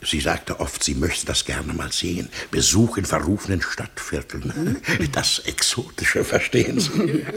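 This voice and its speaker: A frivolous, evasive husband in a radio play. His voice is creaky and swings widely in pitch. He hesitates and stammers, always trying to ingratiate himself. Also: big pitch swings, a little slow, few pauses, fairly bright tone, a little hiss or hum, heavily acted. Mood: flirtatious. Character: evasive, flustered married man, ingratiating and frivolous. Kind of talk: German radio drama acting.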